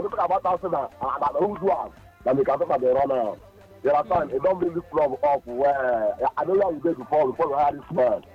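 Nigerian phone-in caller, husky high voice, repetitive excited rant, tinny line. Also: male voice. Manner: rambling, repeats himself, excitable.

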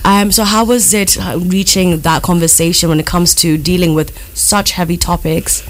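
A woman's voice: fairly low pitch, steady tone, moderate pace. A woman from Kenya hosting an interview, asking questions in an enthusiastic, affirming manner. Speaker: interview host.

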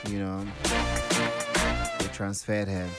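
A man's voice: low voice, completely flat delivery, slow pace, loud music behind the voice. A man hosting a morning radio show, chatting through the news and peppering his talk with "now" and "you know.". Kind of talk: casual radio news chat.

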